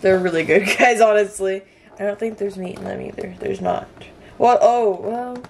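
A woman's voice: mid-range voice, normal speed, gravelly, a little mellow, slight background noise. A young woman vlogging in her home kitchen, groggy from a nap, chatting and giggling as she rambles. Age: young adult. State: groggy after a nap, voice deeper. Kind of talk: casual chat. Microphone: camera on a counter.

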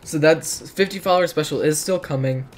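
A teenage boy, hoarse from hours of streaming, chatting casually and rambling while reacting to his chat in a dim bedroom. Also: on the high side, a little fast, soft background music.

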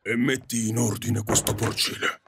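A man's voice: mid-range voice, steady tone, moderate pace, gravelly, fairly bright tone, husky, heavily acted. A man playing a stern, overbearing father in an audio drama, scolding and domineering. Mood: angry, domineering. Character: stern, overbearing father pushing daughter into marriage.

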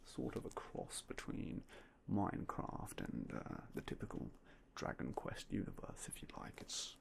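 A young man whispering softly and politely as a game shop clerk in an ASMR roleplay, close to a binaural mic. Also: low-pitched, wildly swooping pitch, unhurried, creaky, noisy background. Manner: quiet, whispery, polite shopkeeper patter.

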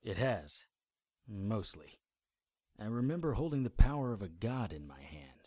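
Husky defiant hero, lowish pitch, dry wit, noir-style radio drama narrator. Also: male voice, big pitch swings, a little slow, a little mellow, no echo, full character voice. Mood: wry, reflective. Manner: dry, noir-like first-person storytelling. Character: wry, defiant male demon hunter and hero.

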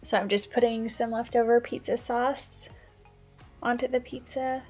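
A woman's voice: a little high, fairly even intonation, measured pace, a little mellow, faint music behind. Chatty mom narrating her cooking. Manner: chatty, practical, explains tips as she goes. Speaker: stay-at-home mom cooking vlogger. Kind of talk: casual cooking voice-over.

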